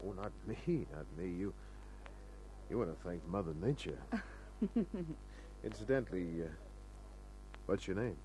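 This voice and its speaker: A man's voice: sits low, animated, measured pace, pauses often, warm tone. An Australian man acting a wry, tough, flirtatious private investigator in a laconic 1950s radio crime drama style. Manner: laconic, wisecracking. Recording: noisy old radio recording with hum.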